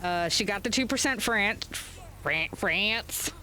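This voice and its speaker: A woman chats casually while playing a game at home, rambling and filling pauses as she goes. She talks slowly and takes her time, and her pitch sits in an ordinary middle range. A faint hiss runs under her voice. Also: animated, pushed and bright. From United States. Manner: rambling, unhurried, with frequent fillers and chuckles. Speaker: gaming streamer host. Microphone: webcam setup.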